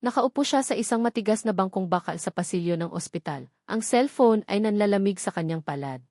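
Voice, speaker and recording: A woman's voice: medium pitch, animated, brisk, warm tone, no echo, clean studio sound. A woman reading scripted, dramatic narration of a love story.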